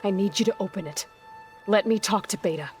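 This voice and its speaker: A woman's voice: medium pitch, a little fast, soft background music, natural voice. A young actress playing a determined female hunter in a video game, asking earnest, pressing questions. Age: young adult. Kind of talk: video game cutscene acting.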